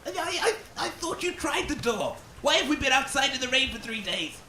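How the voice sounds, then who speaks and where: Piercing, blustering little comedy creature. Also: male voice, very high voice, sing-song, a little fast, pushed and bright, echoey room, a little hiss or hum, heavily acted. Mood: exasperated. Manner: blustering, melodramatic, stammering. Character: pompous, grumbling, wine-loving little male creature, radio co-host. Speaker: radio co-host. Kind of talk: audio comedy acting.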